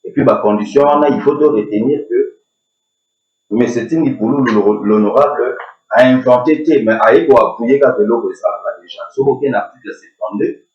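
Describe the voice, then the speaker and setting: A man's voice: medium pitch, brisk, a little mellow. Distant Congolese man in a roomy hall. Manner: switches between French and Lingala, hesitant, many restarts. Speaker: panel speaker. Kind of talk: public debate commentary. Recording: roomy, distant, dull lo-fi sound.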